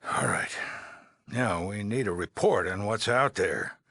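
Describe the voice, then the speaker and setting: An actor playing a weary, anxious middle-aged male leader of an underground shelter in a video game, with a raspy, gravelly voice and halting, earnest delivery. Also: lowish voice, a bit bright, dry recording. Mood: relieved, solemn. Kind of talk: dramatic game dialogue acting.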